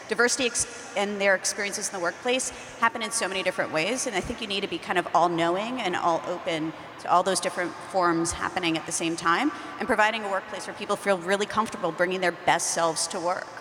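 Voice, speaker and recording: Woman panelist, quick and bright, lowish pitch, echoey hall. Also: a little hiss or hum. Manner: rapid, run-on lists. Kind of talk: panel discussion. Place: large conference hall stage. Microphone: handheld mic. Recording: echoey hall, faint ambience.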